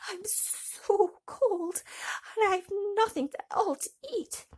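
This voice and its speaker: A woman's voice: extremely high pitch, takes it slowly, a little mellow, pitched above the performer's own voice. A woman voicing a small, sweet, anxious girl who pleads emotionally in a storybook reading. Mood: pleading. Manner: imploring, emotional.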